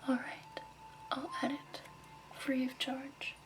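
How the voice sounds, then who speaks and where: A woman whispering softly and breathily in a gentle, measured ASMR roleplay as a shopkeeper. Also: sits high, monotone, rough recording. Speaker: ASMR roleplay host as crystal shop keeper.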